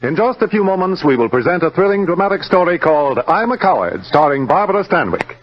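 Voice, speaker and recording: A man's voice: sits high, sing-song, fast talker, a little hiss or hum. A man reading a scripted 1950s radio network promo in a formal announcer's manner. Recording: dull old radio recording.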